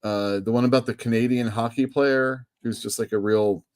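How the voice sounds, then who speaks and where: A man hosting a casual chat as a comic shop owner, talking in a relaxed way that often trails off mid-sentence. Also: medium pitch, moderate pace. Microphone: streaming setup microphone.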